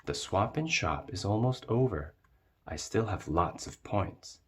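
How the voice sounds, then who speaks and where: Slow, low-voiced man reading a storybook. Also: lively intonation, slight background noise. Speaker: read-aloud narrator. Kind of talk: expressive children's storybook narration.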